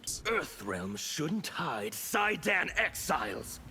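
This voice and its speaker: A man voicing a fanatical, defiant revolutionary warrior in a video game, with a gravelly, menacing, declamatory delivery. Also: fairly high pitch, very animated, takes it slowly, pushed and bright, faint music behind, exaggerated voice. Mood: menacing, bitter. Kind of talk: video game character dialogue.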